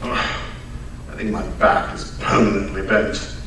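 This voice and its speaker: A man's voice: lowish voice, steady tone, measured pace, bright, forceful tone. A man playing a grumbling, sarcastic Victorian detective's sidekick in a live old-time radio drama, full of bluster. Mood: sarcastic complaining. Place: live stage performance at radio club event. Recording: very echoey hall, distant mic, faint noise.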